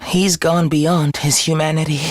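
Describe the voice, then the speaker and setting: A man's voice: fairly high pitch, little pitch movement, unhurried, dry recording, studio quality, natural voice. An actor voicing an obsessive young man in a video game, devoted to his comrade as to a god, with theatrical, intense, florid delivery. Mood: adoring, pleading. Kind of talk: English video game voice acting, dramatic monologue.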